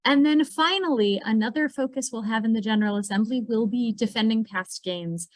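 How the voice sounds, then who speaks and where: Brisk woman giving a dry policy update. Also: a little high, no room sound.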